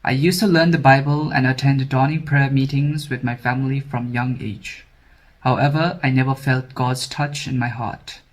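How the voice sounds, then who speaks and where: A man's voice: medium pitch, steady tone, moderate pace. Young man reading a formal testimony. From Sri Lanka. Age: 20s. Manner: formal, read-aloud delivery. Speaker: scholarship candidate.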